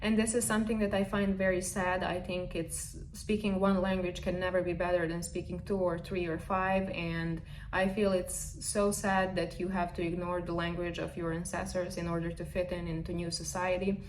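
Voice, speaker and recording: A woman's voice: fairly low pitch, level tone, normal speed, hardly any pauses, low-quality recording. A woman with a Serbian accent talks straight to her viewers in a chatty, earnest vlog. Her English is fluent but has small non-native turns of phrase. A faint hiss sits under the voice. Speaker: vlogger. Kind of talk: casual vlog monologue. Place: indoors, recording in the US.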